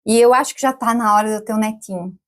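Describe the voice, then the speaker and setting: Chatty woman in her forties, slightly high. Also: rapid-fire. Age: 40s. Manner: chatty, laughs often, many tag questions. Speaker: podcast guest. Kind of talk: casual interview chat.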